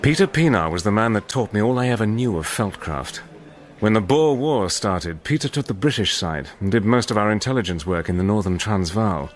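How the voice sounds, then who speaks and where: An actor playing a cool, determined wartime adventurer, telling his own story in measured, dramatic narration. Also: lowish voice, lively intonation, lightly acted. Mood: measured, reflective. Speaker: narrator and lead character. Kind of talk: dramatic first-person scripted narration.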